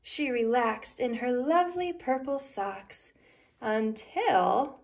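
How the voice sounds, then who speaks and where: A woman reading a children's picture book aloud in an expressive, deliberate storytelling way. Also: fairly high pitch.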